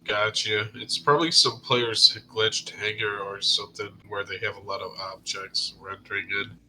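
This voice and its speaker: Congested man with a bright, sharp tone. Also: fairly low pitch, little pitch movement, moderate pace, a little hiss or hum, rough recording. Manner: short, laid-back replies. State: congested, sick. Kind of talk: casual gaming chat.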